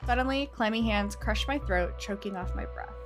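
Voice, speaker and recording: A woman reading a visual novel aloud as a game streamer, with expressive, theatrical delivery. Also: medium pitch, moderate pace, faint music behind. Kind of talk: dramatic narration of a visual novel with casual asides.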